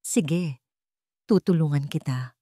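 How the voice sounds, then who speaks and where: Woman reading as a bitter, guarded forensic expert, low-voiced. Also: slow pace, dark tone, no echo, clean studio sound. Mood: relenting. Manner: cold, sarcastic. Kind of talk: dramatic audio storybook dialogue.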